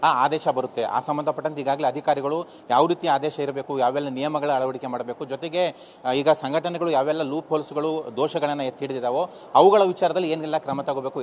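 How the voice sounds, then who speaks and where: A man with a Kannada accent giving a live news report in long explanatory phrases with hesitant gaps. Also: on the high side. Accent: Kannada, Karnataka. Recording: faint ambient noise.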